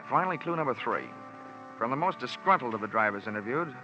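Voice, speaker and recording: An actor playing a wisecracking, hard-boiled insurance investigator in a clipped, wry old-time radio style. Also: male voice, fairly high pitch. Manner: wry, clipped, slangy delivery. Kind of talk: old-time radio detective acting with first-person narration. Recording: dull old radio recording, music behind.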